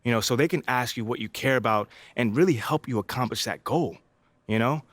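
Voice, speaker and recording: A man's voice: mid-range voice, fairly quick, fairly bright tone, dry recording, lightly acted. A man playing an easygoing young American basketball player in a video game, chatting casually and politely. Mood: sincere, earnest. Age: young adult. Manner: laid-back, friendly, informal slangy talk. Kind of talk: casual conversational video game cutscene acting.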